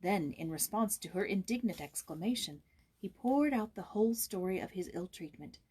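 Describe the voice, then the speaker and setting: A woman reads a children's story as an audiobook narrator. Her voice sits at a medium pitch with a slightly husky, breathy grain, and her scripted delivery is expressive. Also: normal speed.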